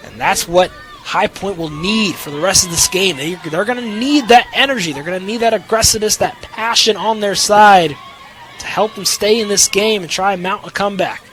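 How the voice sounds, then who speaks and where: A man's voice: high-pitched, normal speed, a bit bright, slight background noise. A young American man delivering sports news and commentary with an emphatic broadcast delivery. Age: young adult. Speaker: student sports anchor, reporter and play-by-play commentator.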